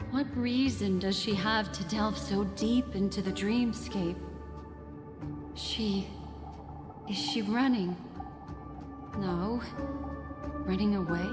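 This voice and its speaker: An actress playing a composed, elegant mystic guide in a video game, speaking in a measured, formal way. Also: female voice, medium pitch, natural voice. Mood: focused, intent. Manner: measured, formal, deliberate phrasing. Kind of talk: dramatic video game voice acting. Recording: long hall reverb under game music.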